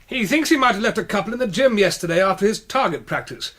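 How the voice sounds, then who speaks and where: An actor playing a suave, faintly menacing English gentleman in a radio drama, polite and drily teasing. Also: sits high, expressive, fast talker. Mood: urbane, teasing. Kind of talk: British radio drama acting.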